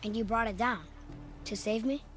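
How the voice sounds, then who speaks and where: A man's voice: sits high, moderate pace, a bit bright, soft background music. A young male actor playing a curious, earnest boy companion in a video game. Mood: thoughtful. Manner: inquisitive, earnest.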